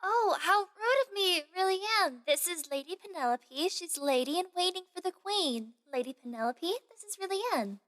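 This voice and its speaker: A young woman acting a chatty, excitable palace gossip in an expressive, theatrical way for a fantasy audio drama. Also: very high voice, normal speed, hardly any pauses. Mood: annoyed.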